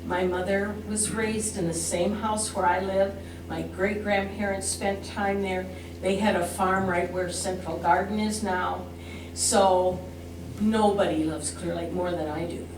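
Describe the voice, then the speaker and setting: An older woman with a Midwestern American accent giving a plain, earnest, deliberate public comment. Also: medium pitch, fairly even intonation. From Iowa, United States. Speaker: resident speaking at a public council meeting. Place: indoor council meeting room. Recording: echoey noisy room, distant mic, hum.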